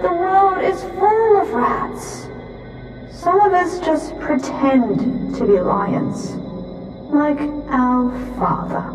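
Slow, menacing high-voiced villainess. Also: female voice. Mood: scornful. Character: menacing older sister, cruel and commanding. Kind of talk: sci-fi audio drama acting. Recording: echoey, distant, music underneath.

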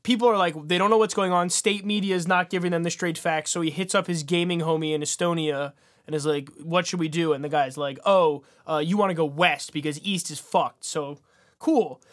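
A man's voice: fairly high pitch, steady tone, a little fast. A man co-hosting a podcast, chatting and reviewing in a casual, colloquial, wry manner. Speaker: podcast co-host.

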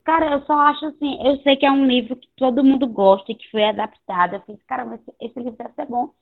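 A hoarse woman with a Northeastern Brazilian accent co-hosting a casual book podcast, blunt, slangy and given to swearing. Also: high voice, fairly even intonation, quick pace. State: hoarse from two days of partying. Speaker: podcast co-host. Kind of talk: casual chat about books. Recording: tinny phone-like line.

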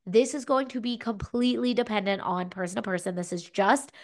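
A woman's voice: medium pitch, fairly even intonation, brisk. A young adult woman co-hosting a fitness podcast, giving casual advice with lots of "like" and "you know", a little stuffy from a cold. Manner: chatty, frequent "like" and "you know". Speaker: podcast co-host, fitness coach.

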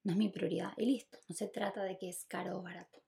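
A woman's voice: fairly low pitch, steady tone, fast talker, vocal fry, warm tone. A woman with a Chilean accent hosting a podcast alone, talking casually and reflectively, confiding and giving advice straight to the listener. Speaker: podcast host.

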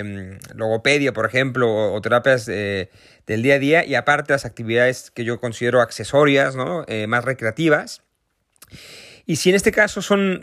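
A man in his thirties with a Mexican accent, speaking in a recorded video message as a parent and association founder, earnest and thoughtful, pausing often to find his words. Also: mid-range voice, fairly bright tone, no echo, studio quality. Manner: earnest, thoughtful, with frequent hesitations.

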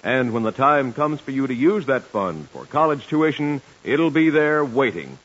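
A man's voice: mid-range voice, very animated, moderate pace, fairly bright tone. An American man announcing in scripted 1940s radio style, with a polished, salesmanlike delivery. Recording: muffled 1940s radio broadcast with music.

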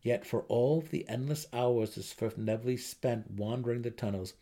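Male narrator, mid-pitched, dramatic fantasy storytelling, scripted audiobook reading. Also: normal speed, dark tone.

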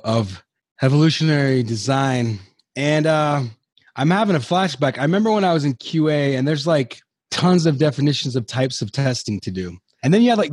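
A man's voice: medium pitch, darkish tone, no room sound, clean studio sound. A man on a podcast panel telling a rambling, jokey story, then asking a question. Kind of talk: casual chat.

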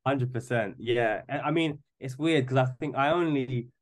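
A man's voice: medium pitch, moderate pace. A man in his twenties in casual podcast chat, rambling and often stumbling over repeated words as he starts a thought. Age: 20s. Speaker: podcast guest.

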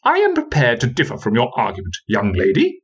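A man voicing a small, excitable male wizard with a squeaky, nasal voice. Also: a little fast, breathy edge, lo-fi sound. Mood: intent.

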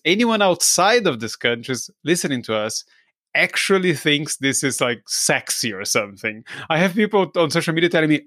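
Brazilian man, podcast host, teasing and quick to laugh, dry close sound. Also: mid-range voice, fairly bright tone, no echo. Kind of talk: conversational interview.

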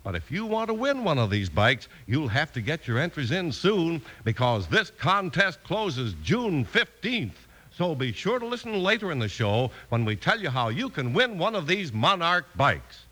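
A man's voice: fairly high pitch, sing-song, normal speed, few pauses, fairly bright tone. An American man announcing in emphatic, polished 1950s radio style, reading scripted promotions and story narration.

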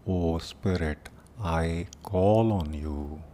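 A man leading a guided meditation in a solemn, deliberate manner, speaking in short phrases with long pauses between them. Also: sits low, mellow, slight background noise. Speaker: meditation teacher and podcast host. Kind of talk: guided meditation with prayerful invocation.

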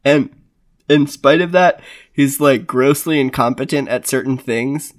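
A man's voice: medium pitch, a little slow. A man co-hosting a podcast in casual chat, rambling with frequent restarts and filler words. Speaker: podcast co-host.

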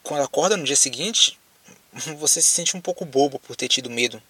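A man acting in an audio drama as a lonely, frightened apocalypse survivor with a Brazilian accent, speaking haltingly as if on a phone call. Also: medium pitch, bright, forceful tone, breathy edge, dry recording, recorded from a distance. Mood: haunted, reflective. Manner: hesitant, halting, repeats words.

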